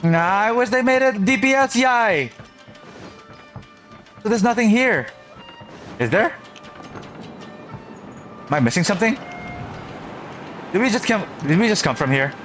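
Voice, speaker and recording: Animated, slow-paced game streamer. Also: medium pitch. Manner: reactive, playful, dramatic, muttering to self. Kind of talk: live playthrough commentary, casual. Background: game music.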